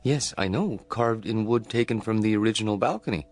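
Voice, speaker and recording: Awkward, timid male loner, radio play; halting, courteous, slightly low voice, faint music behind. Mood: flustered, earnest. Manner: hesitant, stammering, polite. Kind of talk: radio drama acting.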